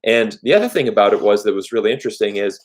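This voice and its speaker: A man's voice: fairly low pitch, animated, racing. A man whose was German, hosting a live-stream Q&A, talking earnestly and conversationally and often restarting phrases with "you know". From United States. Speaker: YouTube host.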